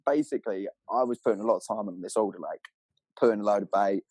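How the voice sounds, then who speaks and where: A man's voice: lowish voice, fast talker, darkish tone, slightly rough, no echo. A man telling stories casually as a podcast guest, in a matey, slangy way with plenty of swearing. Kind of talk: casual animated storytelling.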